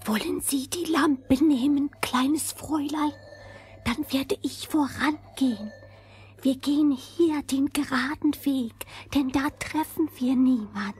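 A woman playing a polite tame female crow with formal, deliberate courtesy in a fairy-tale audio drama. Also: high-pitched, darkish tone. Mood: secretive.

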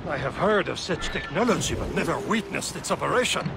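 An actor playing a proud, hot-tempered naval officer who speaks in a haughty, commanding way. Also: male voice, on the high side, lively intonation, a little fast, gravelly. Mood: measured. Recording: loud battle noise behind.

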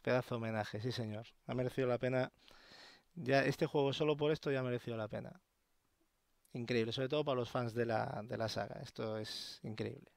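A man giving excited, slangy commentary while playing a game. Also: mid-range voice, fairly quick, creaky, no room sound. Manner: excited, swears casually. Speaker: let's play gamer. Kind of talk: live gameplay commentary.

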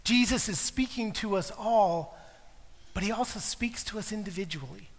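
Middle-aged male pastor, high voice, conversational sermon, frequent "okay?", church hall echo. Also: normal speed. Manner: conversational preaching, peppered with "okay?" checks. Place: large church sanctuary. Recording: long hall reverb.

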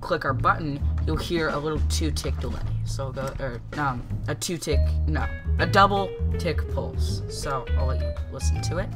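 A man's voice: a little high, normal speed, few pauses, music in the background. A young man with a congested, stuffy voice from a cold, hosting a casual game tutorial, rambling and correcting himself with many ums.

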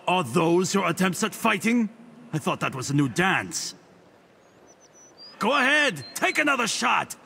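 A man's voice: sits high, vocal fry, bright, forceful tone, breathy edge, full character voice. A man voicing a haughty, smugly witty god sage in a video game, with a pompous, theatrical delivery. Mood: smug mocking. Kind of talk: video game character voice lines.

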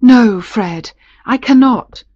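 Woman acting a proud, protesting duchess, high-pitched. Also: slow pace, a little mellow, slightly rough, no echo, muffled recording. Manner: refined, protesting. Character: proud married duchess, reluctant yet fond.

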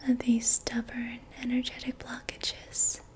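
A young woman whispering breathily in a soothing, gentle ASMR style as she guides an energy healing session. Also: on the high side, monotone, unhurried. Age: young adult. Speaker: ASMR reiki practitioner. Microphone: close mic.

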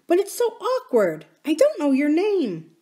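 A woman voicing an earnest, polite little princess in a storybook, childlike and gently insistent. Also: sits high, wildly swooping pitch, measured pace, pitched above the performer's own voice, exaggerated voice. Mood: shyly curious.

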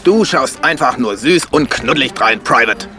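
A man playing a brisk, scheming penguin commander in an animated audio play, giving clipped military orders. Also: medium pitch, lightly acted. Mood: commanding. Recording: music under the voice.

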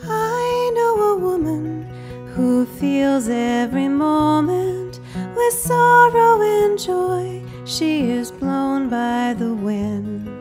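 High-voiced woman singing very slowly. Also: animated. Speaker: musical guest, singer. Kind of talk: slow singing with guitar. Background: guitar music.

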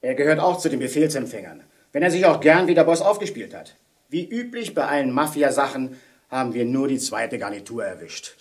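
An actor playing a hearty, boisterous police inspector in a children's audio drama. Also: male voice, medium pitch, very animated, fairly quick, natural voice. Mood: matter-of-fact.

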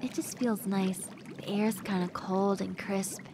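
A woman acts out a friendly young woman relaxing with her crush in an audio roleplay. She speaks slowly at a medium pitch, intimate and gently teasing. Hot tub water runs clearly behind her voice. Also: natural voice. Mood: relaxed, content. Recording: steady running water noise behind voice. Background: bubbling hot tub water sounds.

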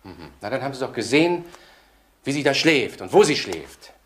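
An actor playing a defensive, self-important male judge, halting and evasive, in a German radio crime drama. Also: medium pitch, big pitch swings, close to an everyday voice. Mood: evasive, nervous. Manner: evasive, halting, repeats questions indignantly. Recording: roomy, faintly noisy radio recording.

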